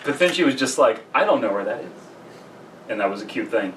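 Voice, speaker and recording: A man co-hosting a podcast, telling rambling casual anecdotes and repeating himself haltingly. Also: medium pitch, expressive, a bit bright, far from the mic. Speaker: podcast co-host. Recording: slightly off-mic with faint noise.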